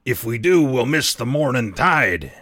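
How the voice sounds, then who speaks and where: A man voicing a wily, hearty old ship's cook with rough seafaring speech in a storybook reading. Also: mid-range voice, big pitch swings, a little slow, fairly bright tone.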